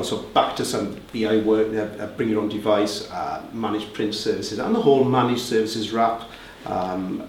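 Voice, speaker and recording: A man's voice: on the low side, a little hiss or hum. A Welsh man heading a reseller group, answering interview questions in a conversational way with fillers and restarts. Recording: roomy, distant mic with faint ambience.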